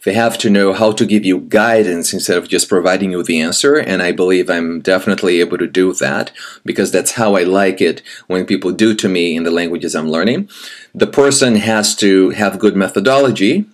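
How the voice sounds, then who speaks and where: A man in his thirties from Brazil, a native Portuguese speaker, presenting himself as a language teacher and giving a sample lesson to the viewer. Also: low-pitched. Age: 30s. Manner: friendly, addresses the viewer directly, pauses with "um".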